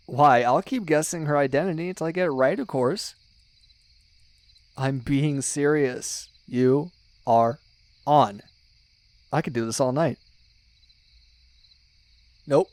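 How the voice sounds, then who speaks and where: A man acts out a young guy as the listener's loyal best buddy in an audio roleplay. He has a medium-pitched voice and talks in slangy, teasing banter, stumbling now and then as he goes. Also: dry recording, close to an everyday voice. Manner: chummy, teasing, slangy, with hesitations and exclamations. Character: teasing, loyal young male best friend, excitable ASMR fan. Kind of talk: ASMR roleplay, one-sided casual banter with the listener.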